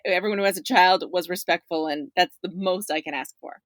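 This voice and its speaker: A woman's voice: lowish voice, a little fast, no room sound, studio quality. A middle-aged woman talking as a podcast guest in a chatty, emphatic way, often checking in with "right?". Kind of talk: conversational discussion of parents' views.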